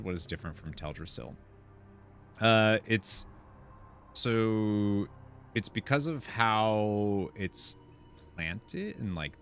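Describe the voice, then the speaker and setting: Man, deep voice, casual gaming commentary, thinking aloud, faint music behind. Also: a bit bright. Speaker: gaming content creator. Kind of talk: casual game commentary, reading quest text aloud. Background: game music and sounds.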